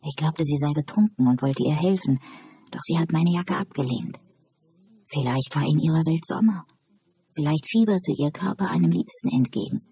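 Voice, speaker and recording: A narrator plays a woman in an asylum from an audiobook novel, calm and philosophical. She explains things in a musing way, with a dark, husky voice. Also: medium pitch, normal speed.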